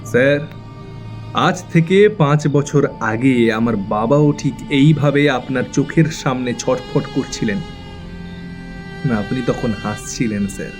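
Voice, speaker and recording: A man's voice: medium pitch, slow, over music, natural voice. Man acting a cold, mock-polite young avenger. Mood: bitter, quiet. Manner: controlled, mock-polite, menacing. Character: cold, taunting young avenger of his dead father. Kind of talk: dramatic audio thriller acting.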